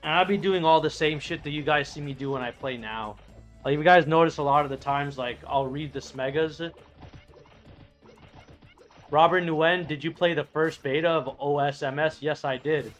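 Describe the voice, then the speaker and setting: Guy in his late 30s rambling and swearing while reading chat, kinda high voice for a man, faint game music behind. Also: moderate pace, a bit bright. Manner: rambling, profane, restarts sentences. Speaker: streamer playing an online game. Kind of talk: casual chat while reading viewer messages aloud.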